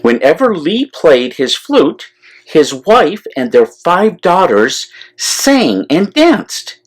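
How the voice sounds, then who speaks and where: Male storyteller for a children's read-aloud: slow, deliberate delivery, lively swings in pitch. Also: soft background music, poor audio. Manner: deliberate, animated, explanatory asides to young listeners. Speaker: storyteller, priest reading aloud. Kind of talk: expressive children's picture-book read-aloud.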